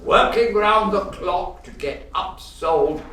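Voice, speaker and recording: Old miserly businessman, radio drama: gleefully greedy, theatrical and emphatic, slow-paced, distant from the mic. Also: sits high, expressive, a bit bright, slight background noise, heavily acted. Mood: gloating, gleeful. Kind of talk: radio play acting. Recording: echoey room, distant microphone.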